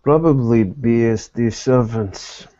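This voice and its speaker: A man playing a formal, guarded private investigator in a tabletop roleplaying game, speaking in measured, deliberate phrases. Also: mid-range voice, lo-fi sound, background noise, close to an everyday voice. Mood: guarded, matter-of-fact.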